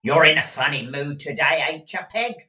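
Man acting a high-pitched, fussy old miser. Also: lively intonation, moderate pace, pushed and bright. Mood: nervous, placating. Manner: emphatic, exclamatory. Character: old miserly master, excitable, fussy and wheedling. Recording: distant, echoey room recording.

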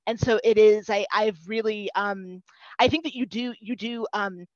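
A woman speaking on a video-call panel from home in a careful, semi-formal, halting way. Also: mid-range voice, steady tone, no room sound. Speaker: panelist, theatre practitioner. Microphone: headset. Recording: dull, lo-fi video-call audio.

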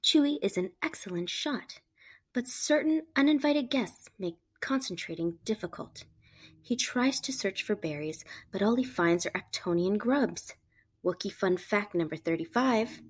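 A woman reading a picture book aloud to children, with animated, emphatic storytelling delivery. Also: a little high, a little slow, breathy edge. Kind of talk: expressive read-aloud narration for children.

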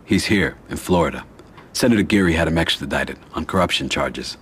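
An actor playing a calm, commanding mafia don in a game cutscene, speaking in a measured, deliberate way. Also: male voice, very deep voice, slight background noise. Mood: cold, resolute. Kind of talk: scripted video game cutscene acting.

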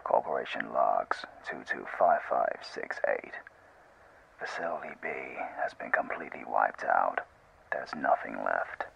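A muffled, radio-like log from a sombre, weary official, acted by a man. He whispers slowly with heavy gravel in a very low voice, grave and drained. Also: very animated, fairly bright tone, exaggerated voice. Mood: grieving, weary. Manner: slow, grave, sighing. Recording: muffled old radio-style log recording.

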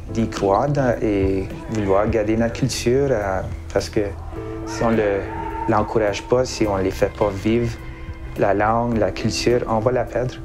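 A man with a Canadian French accent speaking in an interview, repeating phrases as he gathers his thoughts. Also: lowish voice. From Canadian Prairies. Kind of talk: documentary interview.

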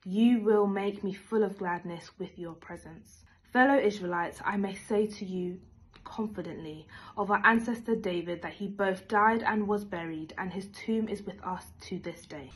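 A woman's voice: mid-range voice, moderate pace, slightly rough, poor audio. A young woman reads a passage of scripture in a middle-register voice. She is recorded indoors on a laptop or phone. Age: young adult. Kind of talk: scripture reading. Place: plain room indoors.

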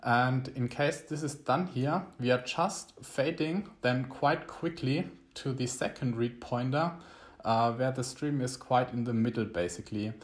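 A young man, not a native English speaker, giving a halting, explanatory screencast tutorial. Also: medium pitch. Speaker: electronics tutorial host.

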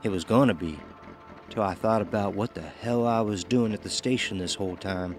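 A man's voice: lowish voice, moderate pace, faint music behind, lightly acted. An actor playing a haunted, resolute working man in a horror audio drama, terse and folksy in short clipped phrases. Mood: resigned, urgent. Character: Elmer Gage, haunted, resolute working man on a grim errand.

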